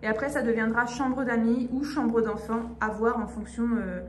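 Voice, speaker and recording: A woman's voice: a little high, fairly even intonation, normal speed. A young woman, chatty and informal, giving a casual house tour as a vlogger filming on a handheld phone in empty rooms. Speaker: home renovation vlogger. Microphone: handheld phone or camera. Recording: echoey empty rooms, some background noise.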